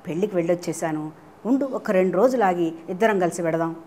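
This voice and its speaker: A woman's voice: fairly low pitch, lively intonation, fast, mellow, a little hiss or hum, close to an everyday voice. A woman voicing a sly, evasive mouse in a children's story, coaxing and making excuses. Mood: reluctant, evasive. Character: sly, evasive mouse who cheats its friend.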